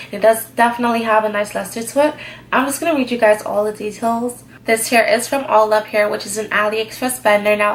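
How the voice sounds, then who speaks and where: A woman's voice: medium pitch, slight background noise, rough recording. A young woman with a stuffed-up nose from a cold, chatting casually as she reviews a wig and filling her talk with "like". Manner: chatty, filler-heavy, lots of "like". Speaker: hair vlogger.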